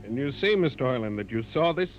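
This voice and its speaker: Man acting a measured starship captain, muffled radio. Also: medium pitch, expressive, over loud music, close to an everyday voice. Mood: measured, judicial. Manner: formal, deliberate. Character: measured, authoritative starship captain, weighing evidence calmly. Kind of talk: 1950s radio drama acting. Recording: muffled vintage 1950s radio recording.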